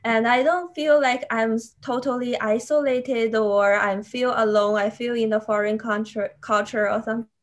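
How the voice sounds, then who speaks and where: A woman's voice: on the high side, steady tone, moderate pace, hardly any pauses. A young woman, a graduate student, answering thoughtfully on a video call and restarting phrases as she goes. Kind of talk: panel interview answers.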